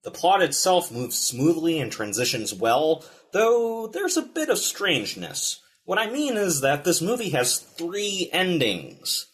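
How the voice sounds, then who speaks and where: A man's voice: a little high, very animated, measured pace, few pauses, fairly bright tone. A man delivering scripted video-essay narration as a film reviewer and host.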